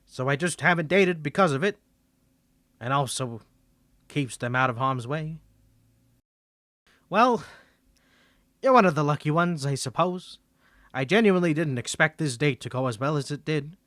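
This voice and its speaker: Man playing a high-voiced, suave cartoony 1950s mafia boss. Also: animated, moderate pace, pauses often, fairly bright tone, no room sound, studio quality. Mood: courteous, flirty. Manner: polite, self-assured, flirtatious. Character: suave flirty mafia boss on a blind date. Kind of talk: scripted roleplay ASMR, cartoony 1950s voice.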